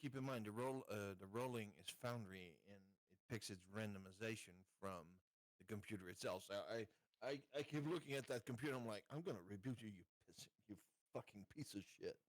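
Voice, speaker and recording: A man chatting casually as a player in a tabletop game, halting and joking in his delivery. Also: lowish voice, no echo. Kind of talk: casual chat.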